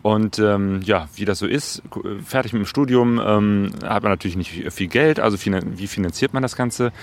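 A man's voice: fairly low pitch, moderate pace. A man hosting an interview, asking questions in a hesitant way with many fillers. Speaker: host. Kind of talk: conversational interview.

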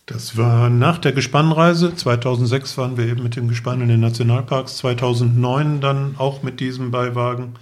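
A man's voice: mid-range voice, level tone, moderate pace, hardly any pauses. A middle-aged man telling travel stories in detail as a podcast guest. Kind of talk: travel storytelling.

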